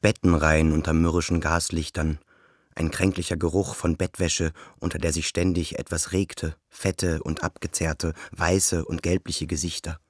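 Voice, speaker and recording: A man's voice: low voice, level tone, normal speed. A man giving a scripted literary narration for a radio play.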